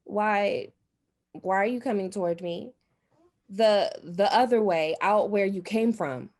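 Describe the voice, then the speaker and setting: An actress playing an anxious, frightened wife in a radio drama, speaking dramatically and haltingly with repeated phrases. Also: female voice, medium pitch, darkish tone. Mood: panicked.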